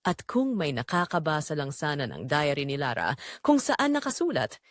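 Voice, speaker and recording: A woman with a Filipino accent narrating a scripted romance story in an expressive, measured way with dramatic pauses. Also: sits low, no echo, clean studio sound.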